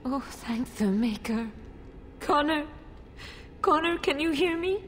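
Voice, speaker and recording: A woman's voice: high voice, animated, slow pace. An actress playing a frightened noblewoman in a fantasy game, a mother who speaks hesitantly and pleadingly. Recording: reverberant stone hall, faint noise.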